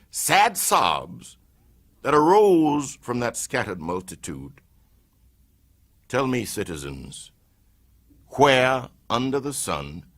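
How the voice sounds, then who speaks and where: An older man giving a solemn dramatic reading of a historical speech, rising to impassioned peaks and sinking to hushed tones. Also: mid-range voice.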